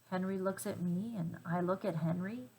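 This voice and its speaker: A woman reading a children's storybook aloud with gentle, deliberate phrasing and dramatic emphasis. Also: lowish voice, breathy edge, rough recording. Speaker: storybook reader.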